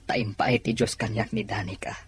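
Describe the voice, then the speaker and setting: A woman's voice: rumbling low, fairly even intonation, fairly quick, slightly rough, heavily acted. A woman playing a caring, encouraging father in an audio drama. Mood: grateful, earnest. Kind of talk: audio drama dialogue in Ilocano.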